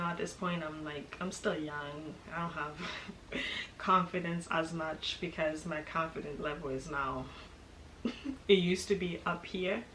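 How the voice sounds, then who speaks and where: A young woman from Kenya tells a personal story in a relaxed, chatty way, her voice sitting a little low. She laughs often. The room is echoey, and steady background noise sits behind her. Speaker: vlogger. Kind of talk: casual storytime chat.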